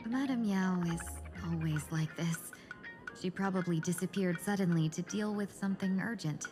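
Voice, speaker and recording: A woman's voice: lowish voice, normal speed, over music, natural voice. A woman playing a matter-of-fact aide in a video game, polite and explanatory in manner. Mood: mildly resigned.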